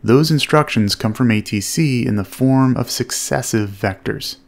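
A man with a creaky, gravelly edge explains a flight step by step. He speaks at a medium pitch in a measured, instructional manner, like a flight instructor giving commentary.

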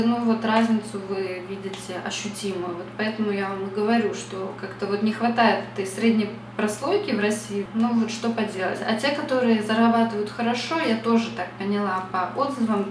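A woman's voice: medium pitch, roomy sound, distant mic, slight background noise, poor audio. A young woman from Russia talking casually to camera at home, reflective and chatty, with many fillers. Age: young adult. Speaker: beauty vlogger. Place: small bright room at home.

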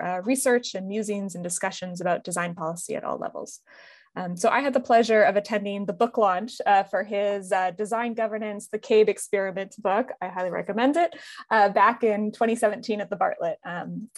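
A woman's voice: medium pitch, no room sound. A woman in her thirties on a headset, enthusiastically introducing a guest speaker with many fillers. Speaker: event host introducing guest. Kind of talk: introduction at an online event. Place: indoor room.

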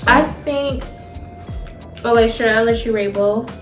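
A woman's voice: a little high, a little slow. An American woman in her thirties giving an informal, slangy food review while she eats. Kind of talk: casual chat, food review. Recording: roomy home recording with faint music.

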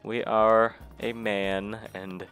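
A man's voice: fairly low pitch, flat delivery, extremely slow, fairly bright tone, clear, resonant tone, no room sound, faint music behind. Nasal man with a cold, rambling. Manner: rambling, casual, self-deprecating. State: recovering from a cold. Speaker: let's play host. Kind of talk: gameplay commentary.

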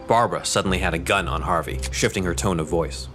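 A man reading scripted narration for a dark superhero audio drama. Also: low-pitched, quick pace, music in the background, lightly acted. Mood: narrating.

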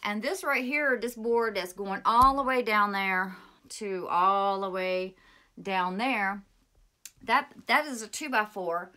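Middle-aged woman, plainspoken and self-correcting, crisp bright voice, phone at arm's length in a small room. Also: medium pitch, takes it slowly. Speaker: homesteading vlogger. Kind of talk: casual DIY walkthrough. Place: small indoor pantry room. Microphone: phone held at arm's length.

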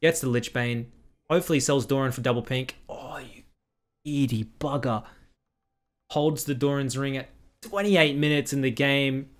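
A young man commentating a game replay in casual, blunt style, recorded at home on a headset. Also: medium pitch. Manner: blunt, critical, quick asides. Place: small room at home.

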